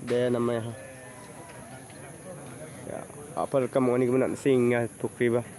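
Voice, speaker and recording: A man's voice: mid-range voice, level tone, normal speed, dark tone. Chatty man vlogging outdoors on a phone. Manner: chatty, greets people as he walks. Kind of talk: casual walking vlog. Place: outdoors at a rural fishing pond fair. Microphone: handheld phone camera.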